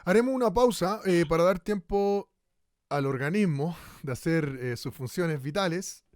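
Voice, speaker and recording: A man's voice: fairly high pitch, expressive, moderate pace, a little mellow, no room sound, clean studio sound, close to an everyday voice. An actor playing a male radio host of a future broadcast in a radio drama, with an announcer's cadence broken by hesitant fillers.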